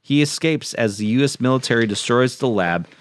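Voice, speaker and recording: A man's voice: medium pitch, expressive, a little fast, clean tone, no echo, clean studio sound. A man co-hosting a podcast, reading plot summaries and chatting casually with wry, joking asides. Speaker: podcast co-host.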